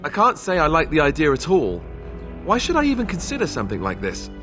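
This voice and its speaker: A man's voice: a little high, huge pitch swings, fast, music in the background. A man playing a wry, boyish, self-deprecating warrior prince in a fantasy game, talking informally and hesitantly. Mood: reluctant. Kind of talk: naturalistic fantasy game acting.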